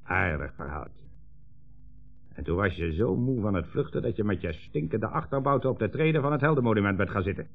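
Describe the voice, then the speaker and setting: Tinny old radio play, faint music, communist commissar, bullying, sarcastic, imperious, contemptuous interrogator. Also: male voice, fairly low pitch, lively intonation, a little fast. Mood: cold, sneering. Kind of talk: 1960s radio drama acting.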